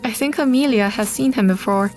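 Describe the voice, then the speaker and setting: A woman's voice: fairly high pitch, brisk, a little mellow, faint music behind, natural voice. An actress playing a practical, matter-of-fact young village mother in an audio drama. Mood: plain.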